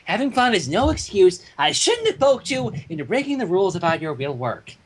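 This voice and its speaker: Man putting on a high, sassy cartoon pony mare. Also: wildly swooping pitch, fairly quick, a little hiss or hum. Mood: exasperated. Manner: indignant, sarcastic. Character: exasperated, sassy female cartoon ponies bickering.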